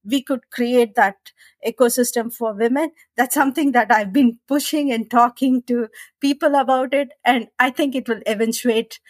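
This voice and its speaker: Earnest Indian-accented woman, bright tone. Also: a little high, fairly even intonation, moderate pace, no echo. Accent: Indian English. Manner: earnest and determined, thinks aloud with restarts. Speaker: guest. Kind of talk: conversational interview.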